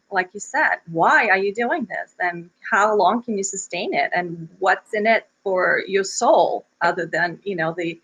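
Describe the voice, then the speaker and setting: Bulgarian-born woman, Bulgarian first language, children's author guest, bright voice, laughs easily. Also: medium pitch, poor audio. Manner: laughs often, warm and modest. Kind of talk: interview conversation.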